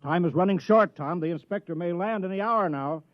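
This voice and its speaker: A man's voice: high voice, brisk, no room sound, natural voice. Male actor as fussy, pushy small-town mayor, folksy, radio drama, muffled dry old recording. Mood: hurried.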